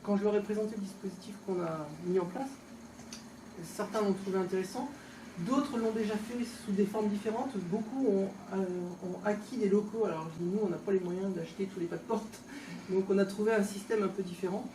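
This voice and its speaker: A woman local official gives an informal opening speech in a conversational, halting, off-the-cuff way, recorded indoors from a distance. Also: medium pitch. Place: indoors, tourist office exhibition hall. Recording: echoey room, distant mic, background noise.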